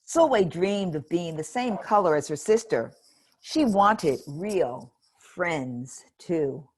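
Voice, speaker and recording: A woman reading a children's picture book aloud in a gentle, expressive way. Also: on the low side, slow. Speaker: storybook reader.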